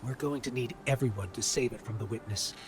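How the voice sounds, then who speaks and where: An actor playing a small, gentle machine guide in a video game cutscene, speaking earnestly and carefully. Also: male voice, medium pitch, brisk, music in the background, natural voice. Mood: awed, earnest. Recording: clear ambient noise behind the voice.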